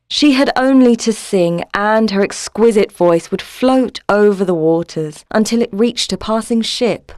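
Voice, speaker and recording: A woman narrates a scripted tale in storybook style. Her voice sits at a medium pitch, in a very dry recording with no room sound. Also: darkish tone, no echo.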